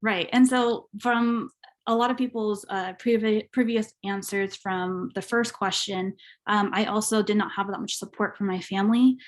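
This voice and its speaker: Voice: mid-range voice, fairly even intonation, normal speed. A young adult with a feminine-sounding voice and Spanish as a first language, speaking reflectively and a little hesitantly on a discussion panel. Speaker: panelist, university graduate.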